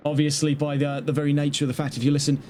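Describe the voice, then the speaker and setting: Male race caller, fairly high voice, brisk play-by-play with chatty asides, steady background hiss under the mic. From not Dutch. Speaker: race commentator. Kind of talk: live sim-racing play-by-play commentary.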